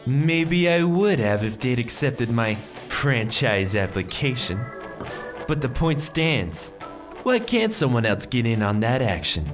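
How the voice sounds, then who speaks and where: A man's voice: mid-range voice, sing-song, slow, soft background music. An actor playing an envious, cocky man with a sardonic, grumbling way of talking in an audio drama. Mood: scheming, cocky.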